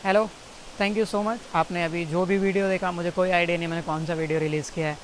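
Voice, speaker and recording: Young Indian comic, twenties, awkward and self-mocking, swearing, talking to camera outside, distant mic, faint hiss. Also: male voice, high-pitched, fairly even intonation, brisk. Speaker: stand-up comedian. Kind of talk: rambling outtakes to camera, then stand-up set. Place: outdoors by a jungle stream.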